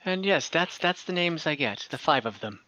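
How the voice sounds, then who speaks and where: A game master improvising tabletop game lore, speaking haltingly and searching for words. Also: mid-range voice, animated, bright, forceful tone, dry recording, highs cut off. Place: online group call.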